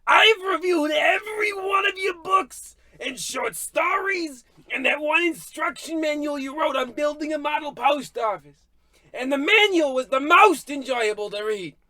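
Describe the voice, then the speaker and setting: High, sharp, snide critic. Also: lively intonation, a little slow, heavily acted. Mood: scornful. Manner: haughty, sputtering. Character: snide, scornful book critic. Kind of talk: old-radio comedy acting.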